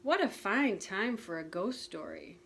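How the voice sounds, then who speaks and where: A woman's voice: medium pitch, expressive, measured pace, gravelly, a bit bright, slight background noise. A woman reading the part of a playful male frog who tells a spooky tale to his friend. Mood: storytelling. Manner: teasing, dramatic storytelling. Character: playful male frog telling a ghost story.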